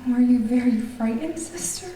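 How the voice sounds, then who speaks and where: A woman's voice: fairly high pitch, normal speed, mellow, close to an everyday voice. A woman playing a frightened, grief-stricken young woman in an old-time radio thriller on stage, with melodramatic, anguished delivery. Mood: grieving, frightened. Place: theater, live-streamed stage performance. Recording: echoey theater stage, distant, noisy.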